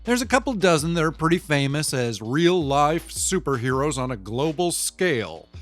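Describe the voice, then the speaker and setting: A man's voice: fairly high pitch, very animated, moderate pace, a bit bright, faint music behind. A man playing a cynical, pragmatic business type in an audio drama monologue, matter-of-fact, opinionated and conversational as he explains things. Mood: explanatory.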